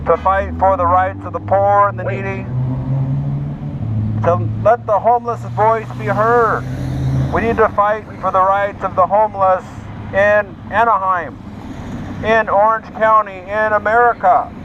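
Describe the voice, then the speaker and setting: A man's voice: high-pitched, steady tone, a little slow. A man giving an impassioned, emphatic advocacy speech with religious appeals and repeated slogans through a megaphone. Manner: exhorting, emphatic, repetitive slogans. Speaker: homeless advocate, street speaker. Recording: noisy, dull megaphone sound outdoors with traffic.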